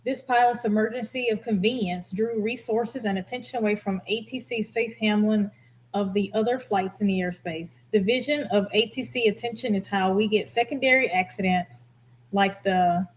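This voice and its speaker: A woman hosting a casual reaction commentary, reading out comments with a blunt, expressive delivery. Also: medium pitch, normal speed. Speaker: host, former air traffic controller.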